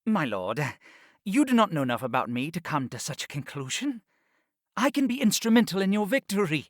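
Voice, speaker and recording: A man's voice: high voice, sing-song, brisk, dry recording, studio quality. An actor playing a proud, pleading nobleman in his fifties in a fantasy audiobook, formal and deferential yet insistent. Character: proud, pleading fifty-six-year-old nobleman schemer. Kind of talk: full-cast fantasy audiobook acting.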